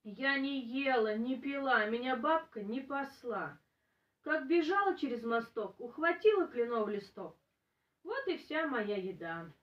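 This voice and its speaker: Woman as high-voiced sly storybook goat. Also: lively intonation, a little slow, dull audio. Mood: plaintive lying. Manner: chanting in rhyme. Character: sly, lying, defiant female goat. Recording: roomy, distant camera microphone.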